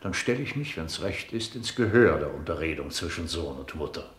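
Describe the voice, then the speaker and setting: An actor playing an officious, scheming older courtier in a classical radio tragedy, fussy and self-important. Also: male voice, extremely low pitch, expressive, moderate pace, a bit bright. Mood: brisk, advising. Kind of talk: classical stage tragedy in German radio drama. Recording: roomy, reverberant studio sound.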